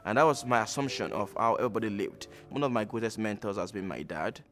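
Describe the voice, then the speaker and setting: A Nigerian man in his thirties, speaking reflectively with frequent pauses in a documentary interview. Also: lowish voice, a bit bright, faint music behind. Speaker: alumnus interviewee.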